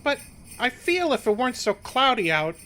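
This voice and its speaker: A man's voice: extremely high pitch, sing-song, moderate pace, pushed and bright, soft background music, heavily acted. An actor playing a simple-hearted, anxious sidekick in a radio play, hesitant, stammering and folksy in his earnest talk. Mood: nervous wonder.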